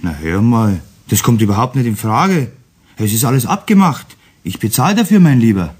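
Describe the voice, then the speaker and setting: Stern, husky-voiced father. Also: medium pitch, big pitch swings, normal speed, darkish tone. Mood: indignant. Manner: firm, admonishing. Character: stern, impatient father lecturing his young son. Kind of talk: radio play acting for children.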